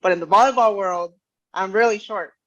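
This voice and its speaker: A woman's voice: mid-range voice, brisk. A woman raised in Mexico speaks as a guest on a video-call panel. Her voice sits mid-range and sounds dull and lo-fi. She tells her story in an easygoing, joking way. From Mexico, Ciudad Juarez. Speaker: strength and conditioning coach. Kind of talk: casual talk about her career.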